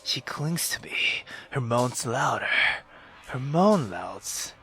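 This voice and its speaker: A man reading a visual novel aloud as dramatic narration, breathy and dropping into whispers. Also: mid-range voice, very animated, takes it slowly, a bit bright.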